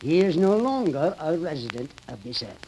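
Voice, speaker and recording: An actor playing a sinister, greedy professor in 1930s radio horror style, speaking formally and with deliberate menace. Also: male voice, on the high side, big pitch swings, dark tone. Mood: coldly demanding. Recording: noisy, crackly 1930s radio recording.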